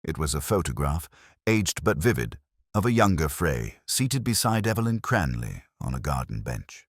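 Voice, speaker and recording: A man with an extremely low voice narrates a detective story in measured, literary phrases. His pitch moves expressively up and down, and the sound is clean and dry, recorded close without room echo. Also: sing-song. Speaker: audiobook narrator. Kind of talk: scripted first-person mystery story narration.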